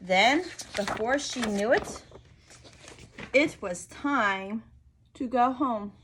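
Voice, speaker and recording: A woman reading a picture book aloud to young children, expressive and often pausing to ask them questions. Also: fairly high pitch, slight background noise. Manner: expressive, prompting listeners with questions.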